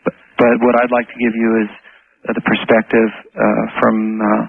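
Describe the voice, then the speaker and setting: Over a thin telephone line, a man with a creaky, rough voice explains things as a radio guest. He is earnest and halting, often doubling words for emphasis. His pitch is average. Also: monotone. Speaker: phone-in guest.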